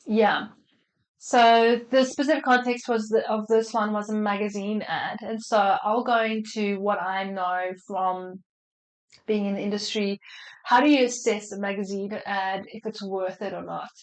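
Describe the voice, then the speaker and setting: Woman, under the weather with a flu, loose chatty advice over a video call, mid-pitched. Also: fairly even intonation, a little slow, low-quality recording. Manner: chatty, loose, lots of fillers and restarts. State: slightly unwell with a flu. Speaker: marketing expert answering audience questions. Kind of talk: casual live Q&A on a call.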